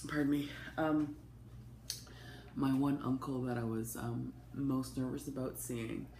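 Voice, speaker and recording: A woman, hoarse from a cold, giving a chatty, open personal update to camera with plenty of ums and asides. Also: very deep voice, flat delivery, measured pace, warm tone. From Canada. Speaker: vlogger. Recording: echoey room with faint noise.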